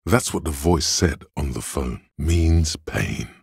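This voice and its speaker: Very deep, gravelly voice of logic. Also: male voice, animated, no echo, full character voice. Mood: dry, analytical. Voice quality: gravelly, husky. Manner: measured, deliberate, dry. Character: calm analytical male inner voice of logic, dry and knowing. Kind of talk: video game voice-over narration.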